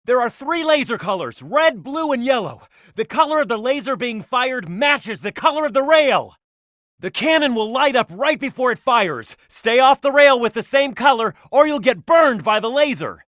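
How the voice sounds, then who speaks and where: Bold high-pitched cartoon fighter. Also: male voice, very animated, moderate pace, fairly bright tone, no echo, clean studio sound, exaggerated voice. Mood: instructing. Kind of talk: scripted video game character voice acting.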